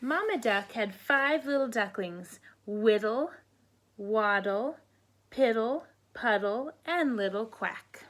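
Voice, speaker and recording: A woman reading picture books aloud to children in a teacherly way, pausing to prompt listeners with questions. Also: fairly high pitch, animated, a bit bright, a little hiss or hum. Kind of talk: read-aloud storybook narration with counting asides.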